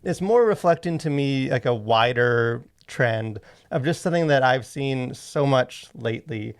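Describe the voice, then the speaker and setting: A man's voice: medium pitch, expressive, unhurried, clean tone. A man co-hosting a casual podcast, talking in a wry, jokey way with rambling asides. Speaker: podcast co-host. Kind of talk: casual conversational podcast commentary.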